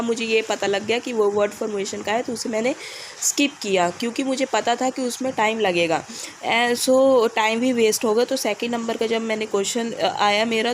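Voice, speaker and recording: Young woman, hoarse from a fever, slightly high pitch, hesitant casual vlogger giving exam tips. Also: slight background noise. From India. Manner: hesitant, with many "uh" pauses. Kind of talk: casual exam-advice vlog.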